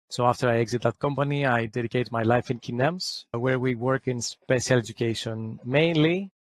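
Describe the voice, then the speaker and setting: Founder guy explaining calmly, mid pitch, dry sound. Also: no room sound. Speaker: company founder guest. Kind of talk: explaining in an interview.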